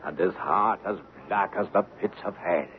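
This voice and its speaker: An actor playing an awed storyteller in an old radio drama, dramatic and lilting, with a thin broadcast sound. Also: male voice, sits low, normal speed, natural voice. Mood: awed, ominous. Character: awed Irish storyteller recalling a monstrous evil giant. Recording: tinny, noisy vintage radio broadcast.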